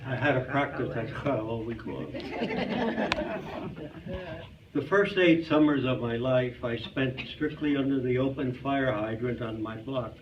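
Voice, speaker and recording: A man's voice: medium pitch, takes it slowly, lo-fi sound. A man giving a staged reading of a humorous memoir in a club hall. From Brooklyn, New York. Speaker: reader at a community readers theater. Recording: distant mic, noisy hall sound.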